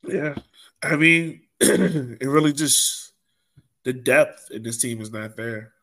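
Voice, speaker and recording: Male podcast host, mid-pitched, informal sports talk, conversational delivery. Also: takes it slowly, pushed and bright. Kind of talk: casual sports podcast discussion.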